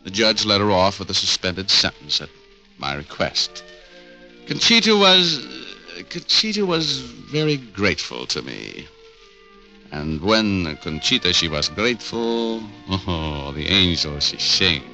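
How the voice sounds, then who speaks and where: Wisecracking hard-boiled private eye, low voice, really sharp punchy edge. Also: male voice, sing-song, measured pace, faint music behind, exaggerated voice, low-quality recording. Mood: wry. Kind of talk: 1940s radio acting.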